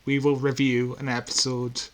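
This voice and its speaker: A man's voice: mid-range voice, completely flat delivery, moderate pace. A man co-hosting a casual, unscripted podcast, explaining things in a light-hearted, self-deprecating way. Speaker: podcast co-host.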